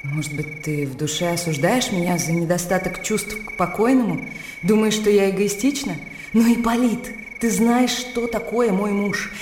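An actress playing a genteel, calculating widowed sister, ingratiating and measured, in a classic radio drama. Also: female voice, medium pitch, noisy background, lightly acted. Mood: plaintive. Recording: reverberant hall, faint music behind.

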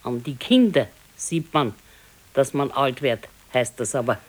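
A woman's voice: extremely low pitch, lively intonation, measured pace, exaggerated voice. An actress playing an old grandmother and grumbling, practical wife in a radio play, speaking tersely and dryly with a Bavarian accent. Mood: matter-of-fact. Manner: terse, matter-of-fact, dryly contradicting. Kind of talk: naturalistic radio play dialogue.

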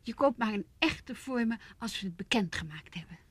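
Pushy young fiancée in a radio play, coaxing, breathy, dry studio sound. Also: female voice, fairly high pitch, animated, moderate pace, dry recording. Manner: pressing, coaxing. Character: young fiancée, insistent and manipulative. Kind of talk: Dutch radio play acting.

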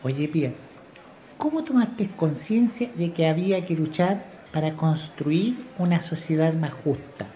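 A man plays a polite young Chilean journalism student in a radio play. His voice is soft-edged and mellow, his manner earnest. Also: fairly high pitch, expressive, unhurried. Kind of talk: natural radio drama dialogue.

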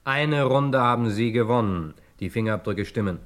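An actor playing a tough, mocking police lieutenant in a radio crime drama, hard-boiled and insistent. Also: male voice, mid-range voice, animated, normal speed, over music, close to an everyday voice. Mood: accusing.

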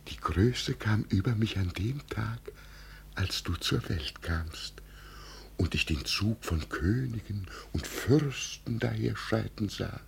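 Old self-styled emperor, creaky voice, wide swings of pitch, tremulous grandeur, 1950 radio play. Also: male voice, lively intonation, slow pace, heavily acted. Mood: proud, dreamy. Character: deluded old father who believes himself an emperor. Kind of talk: 1950 German radio drama acting. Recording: noisy vintage 1950 radio recording.

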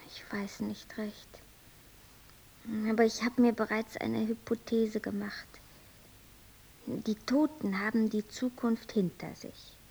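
A woman playing an exacting, brooding angel who directs invented characters in a radio play, with a creaky voice and deliberate, didactic delivery. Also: on the high side, fairly even intonation, darkish tone, exaggerated voice, low-quality recording. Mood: pondering.

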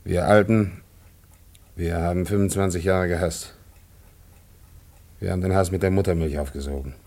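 An actor playing a bitter, weary old communist father in a serious radio drama, brooding and declamatory, with a gravelly voice. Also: low voice, fairly even intonation, normal speed, darkish tone. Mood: bitter, resigned. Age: older.

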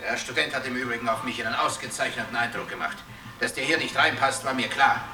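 Radio play: indignant, agitated snob, very sharp voice, distant and echoey. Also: male voice, medium pitch, fast talker, soft background music. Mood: contemptuous, agitated. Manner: contemptuous, agitated. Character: indignant man under attack, snobbish then panicked. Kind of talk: radio drama. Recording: echoey, far from the mic.

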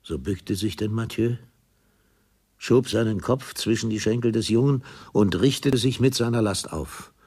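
A man's voice: fairly low pitch, a little slow, darkish tone, breathy edge. A man narrating a literary radio drama in a formal, solemn manner. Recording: music behind the voice.

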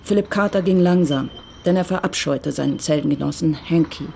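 A woman giving calm, measured scripted narration for a radio crime drama. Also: sits low, gravelly, slight background noise.

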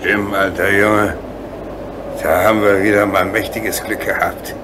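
An actor playing a steady, fatherly locomotive driver in a children's radio play, plain-spoken and reassuring. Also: a little slow, natural voice. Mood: relieved, determined. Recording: loud rumbling train noise behind.

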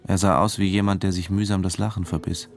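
An actor playing an intense, infatuated diving instructor who narrates an audio drama in a measured, introspective way. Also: male voice, low-pitched, little pitch movement, creaky. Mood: brooding, infatuated. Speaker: narrator and lead character. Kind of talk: first-person audio drama narration and dialogue. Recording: music bed behind the voice.

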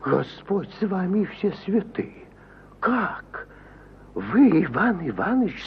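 Garrulous provincial judge, easily amazed, slow drawn-out delivery, husky, vintage muffled radio drama sound. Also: male voice, exaggerated voice. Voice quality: husky, high-pitched. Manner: rambling, drawn-out, swooping exclamations. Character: garrulous, hospitable old-time provincial judge, easily astonished. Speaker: actor in an audio play. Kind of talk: 1950s Soviet radio theatre acting. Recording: muffled 1950 radio play recording.

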